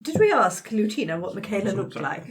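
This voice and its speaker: A woman takes part in an improvised tabletop roleplay. Her pitch moves around freely as she talks, and her wording is polite and a little prim, even in casual game chat. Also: fairly quick.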